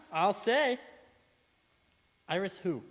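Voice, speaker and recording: A man's voice: high-pitched, very animated, drawn-out words, exaggerated voice. A man playing a dopey, lovestruck young delivery boy in an old-time radio comedy, live on stage. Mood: dopey, eager. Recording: echoey hall, live stage performance.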